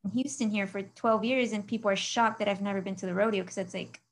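A woman's voice: medium pitch, fairly even intonation, fast talker. A woman from Mexico, a guest in a casual interview chat, who talks freely, laughs often and lets sentences trail off.

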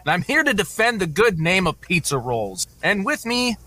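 A man's voice: high-pitched, very animated, moderate pace, bright, forceful tone. A man co-hosting a podcast in casual chat, with a ring announcer's background.

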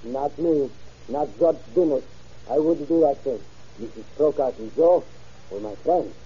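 A man's voice: mid-range voice, unhurried, mellow, husky. Agitated man, muffled old radio. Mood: insistent denial. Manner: broken English, emphatic short phrases. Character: agitated immigrant man, stubborn, threatening, pleading innocence. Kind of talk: old-time radio crime drama acting.

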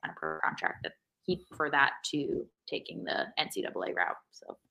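A woman's voice: rumbling low, sing-song, brisk, gravelly, over music. An American woman co-hosting a casual sports podcast, with a wry, self-deprecating way of talking. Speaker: podcast co-host.

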